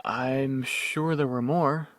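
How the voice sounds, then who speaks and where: A man's voice: mid-range voice, fairly even intonation, takes it slowly, fairly bright tone, dry recording, clean studio sound. A man voicing a kind, deferential young unicorn student in a radio drama, earnest and hesitant. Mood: flustered, apologetic. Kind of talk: fan-made radio drama acting.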